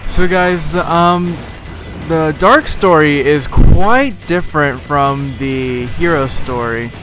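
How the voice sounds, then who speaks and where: A slightly hoarse man giving casual, rambling commentary over a video game playthrough, with frequent filler words. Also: on the high side, takes it slowly. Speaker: let's play host. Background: game music.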